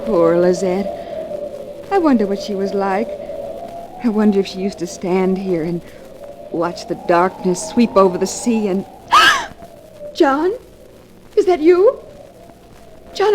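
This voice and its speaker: An earnest young American bride in a 1940s radio play. The voice is on the high side and theatrically expressive. A light layer of old-recording noise sits around it. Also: female voice, slow, pauses often. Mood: frightened. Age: young adult. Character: young bride, eager, sweet and easily frightened.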